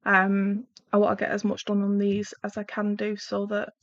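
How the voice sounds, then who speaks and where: A woman's voice: mid-range voice, monotone, moderate pace, dry recording. A middle-aged woman chats casually on a live stream while swatching pencils, her nose bunged up and her throat sore, apologetic and trailing off as she works. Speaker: art channel host. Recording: dull lo-fi home live-stream audio.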